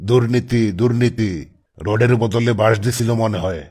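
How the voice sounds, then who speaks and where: A man's voice: on the low side, steady tone, normal speed, darkish tone, breathy edge. A man voicing a bossy, irritable kidnapper boss in a comic cartoon, scolding and commanding in colloquial Bangladeshi Bengali. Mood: resigned grumbling. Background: music.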